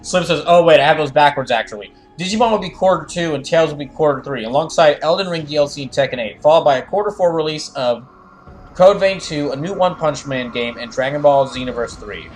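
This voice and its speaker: Higher-voiced man rambling on a stream. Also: brisk. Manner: rambling, repeats phrases as thoughts form. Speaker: streamer doing live fandub of a game and reading chat. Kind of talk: casual gaming livestream chat and commentary. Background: game music.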